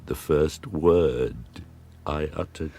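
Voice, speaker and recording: Outcast creature, low gravelly voice, slow halting delivery, formal old-fashioned speech, anguished, audiobook drama. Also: male voice, dark tone, heavily acted. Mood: fearful. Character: anguished, eloquent man-made monster, lonely outcast. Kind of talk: dramatised audiobook monologue and dialogue.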